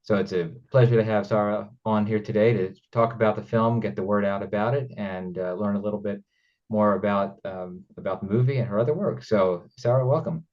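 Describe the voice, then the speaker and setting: Male interview host, lowish pitch, halting conversational delivery, slightly muffled lo-fi audio. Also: fairly even intonation. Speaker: interview host, independent filmmaker.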